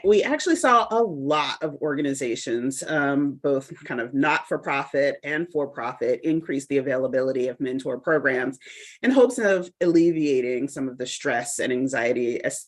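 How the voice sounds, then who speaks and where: Woman hosting a professional podcast. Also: sits low. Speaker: podcast host. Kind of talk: scripted introduction then interview.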